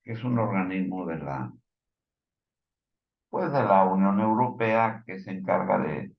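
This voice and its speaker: A man with a Mexican accent giving informal news commentary, partly reading from reports, in a halting, hesitant way with fillers and stumbles. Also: deep voice, expressive, gravelly, roomy sound, highs cut off.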